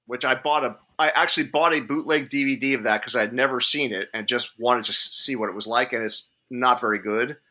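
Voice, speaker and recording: Very bright, sharp-voiced man. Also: mid-range voice, a little fast, few pauses. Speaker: podcast co-host. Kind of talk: casual panel chat.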